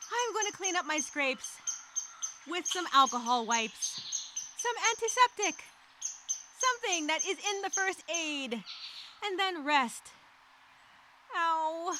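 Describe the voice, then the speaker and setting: A woman playing an anxious stranded castaway, speaking a dramatic, halting, repetitive audio journal with expressive sighs. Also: sits high, noisy background, full character voice. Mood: pained. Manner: expressive, halting, sighing, repeats herself. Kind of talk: dramatic first-person audio journal monologue.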